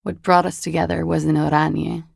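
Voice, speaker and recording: An actress playing a flippant, worldly, evasive young woman in a video game, speaking with a languid, deadpan wryness. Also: deep voice, normal speed, creaky, slightly rough, no room sound, clean studio sound, full character voice. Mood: wistful, detached. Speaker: video game character voice actor. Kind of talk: video game dialogue acting.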